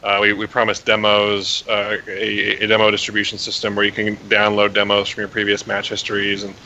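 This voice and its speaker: Tired low-voiced man on a hissy call. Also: completely flat delivery, a little fast, sharp tone. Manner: rambling, many fillers and restarts. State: tired, slightly raspy. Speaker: game developer guest. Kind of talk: casual interview over call. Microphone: remote voice call. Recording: dull hissy call audio.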